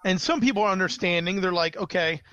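A man's voice: high voice, quick pace, a bit bright, lo-fi sound. A man, a small business owner, chatting as a podcast guest, rambling with lots of fillers and restarts. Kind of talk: casual interview chat.

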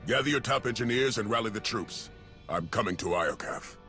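A grim, resolute Republic commander from a video game,. His lowish voice has a bright, firm edge, with music under it. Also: expressive, a little fast. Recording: game audio with music score.

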